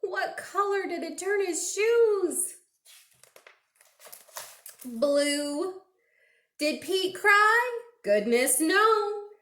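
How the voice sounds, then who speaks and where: Female storytime reader, high register, very measured pace, expressive and interactive delivery for small kids, roomy echoing sound. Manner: animated, slow and deliberate questioning with exclamations. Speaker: children's librarian reading picture books at storytime. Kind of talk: interactive read-aloud for young children, with sung refrains.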